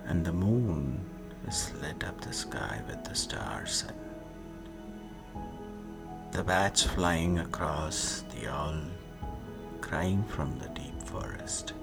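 A man guiding a sleep meditation, speaking soothingly in short hushed phrases with long pauses, often fading into a breathy whisper. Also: rumbling low, animated. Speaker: meditation guide. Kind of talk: guided sleep meditation narration. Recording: music behind the voice. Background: meditation music.

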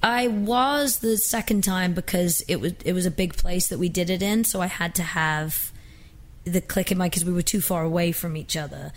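A woman's voice: fairly low pitch, normal speed. A young woman from England with an accent blended with American, chatting casually as a singer guest in an interview, often restarting her sentences. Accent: mixed English and American.

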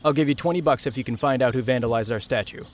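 A man's voice: medium pitch, very fast, lightly acted. A man playing a smug, impatient heir of a small-town family in a naturalistic audio drama. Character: entitled, irritable male heir to small-town family fortune.

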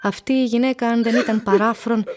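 A woman narrating a radio play as a literary storyteller, measured and descriptive. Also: on the high side, steady tone, a little mellow, a little hiss or hum, close to an everyday voice. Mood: intent storytelling.

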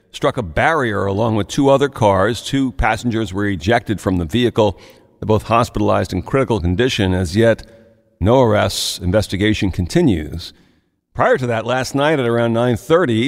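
A man reading the local news as a radio anchor, in a steady, measured way with occasional asides. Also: mid-range voice, in a large hall.

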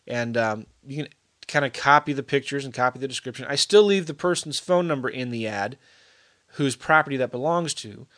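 A man's voice: medium pitch, expressive, a little fast, fairly bright tone. A middle-aged man talking casually to camera as a real estate investor, rambling with many fillers and restarts. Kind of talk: casual video update.

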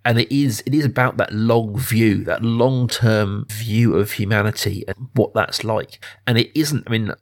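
A man's voice: lowish voice, fairly even intonation, measured pace, hardly any pauses. A man hosts a conversational podcast about films. He speaks in an ordinary mid-range voice, feeling his way through sentences and often rewording them as he goes. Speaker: film podcast host. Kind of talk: conversational film discussion.

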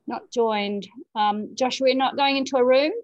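A woman co-hosting an online session over a video call, hesitant and filling pauses with ums while she manages the group. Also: on the high side, fairly even intonation, no echo. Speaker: co-host managing breakout rooms. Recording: dull lo-fi call audio.

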